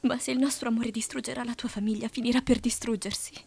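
A woman dubbing a resolute young woman in love in a period film drama, sorrowful, soft and measured. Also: a little high, fairly even intonation, vocal fry, breathy edge. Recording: fan dub with audible background noise.

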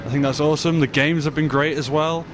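A man's voice: a little high, steady tone, quick pace, background noise. A young man, a guest at a gamer roundtable, speaking offhand and joking into a handheld mic. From Scotland. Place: small restaurant.

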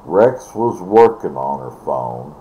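An older man chatting casually and ramblingly, halting and repeating words, recorded on a device mic in a bedroom. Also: very deep voice, very animated, mellow. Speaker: vlogger. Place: small bedroom, indoors. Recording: dull, noisy webcam audio.

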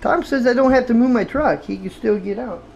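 A man's voice: very high voice, a little fast, gravelly, darkish tone, muffled recording. A middle-aged trucker chatting to his viewers in a loose, folksy ramble as he moves around his home and truck. The handheld camera picks up plenty of noise behind his voice. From Ohio, United States. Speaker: trucker vlogger. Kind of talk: casual vlog talk to camera. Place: farmhouse kitchen, driveway and truck cab in cold weather. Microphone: handheld action camera. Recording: noisy handheld camera audio.

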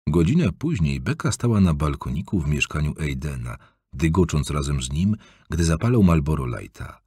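A man reading an audiobook aloud in an expressive, varied storytelling manner, close to a studio mic. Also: a bass voice, normal speed, husky, no room sound. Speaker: audiobook narrator. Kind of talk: scripted audiobook narration.

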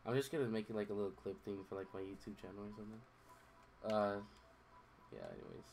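A man's voice: fairly low pitch, steady tone, quick pace, vocal fry, a little mellow, a little hiss or hum. A young man chatting casually while streaming a video game, rambling, losing his train of thought and swearing offhand. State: voice recovering from strain. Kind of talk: casual gameplay commentary. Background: game music.

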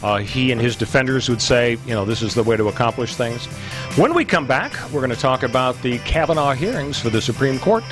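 An American man in his sixties hosting a political panel show, talking in a measured, articulate way as he thinks aloud. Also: medium pitch, music in the background. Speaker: radio talk show host. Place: radio studio. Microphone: close broadcast microphone on boom arm.

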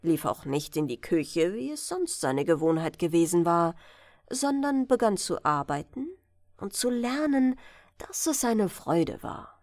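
A woman's voice: lowish voice, sing-song, a little slow, a little mellow, dry recording, studio quality. A woman narrating a bedtime fairy tale as an audiobook reader.

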